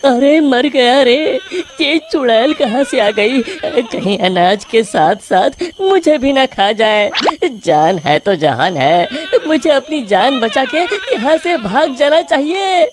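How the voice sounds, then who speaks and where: A woman's voice: a little high, animated, noisy background. Woman as wailing cartoon grain merchant Girdhari. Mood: terrified. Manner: wailing, drawn-out lamenting. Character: distraught, cowardly village grain merchant Girdhari, male. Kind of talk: cartoon moral-story acting.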